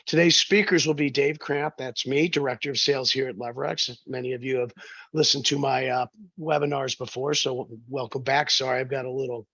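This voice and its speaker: A man with a hoarse, froggy voice hosting a business webinar, halting with many fillers and restarts. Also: medium pitch, fairly even intonation, bright, forceful tone, no room sound, muffled recording. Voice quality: gravelly. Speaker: webinar host, sales director. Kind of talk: webinar presentation.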